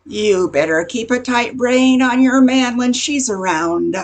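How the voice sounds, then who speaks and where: An older woman playing a nosy, gossiping neighborhood busybody in an amateur radio comedy, chatty and insinuating with a husky, creaky voice. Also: a little high, animated, measured pace. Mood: confiding, insinuating.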